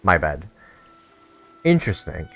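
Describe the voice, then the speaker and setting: A man with a deep voice reacts casually to a game as he plays. He thinks aloud in a hesitant, puzzled way, with faint music in the background. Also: very animated, unhurried, gravelly, fairly bright tone. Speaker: gamer reacting to a game story. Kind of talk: casual playthrough commentary.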